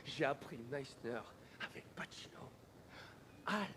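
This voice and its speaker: An actor playing a cowardly, crude children's entertainer, melodramatic and self-pitying, in a dramatic film. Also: male voice, mid-range voice, expressive, slow pace, background noise. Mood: self-pitying confession. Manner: frantic, crude, melodramatic, prone to yelling.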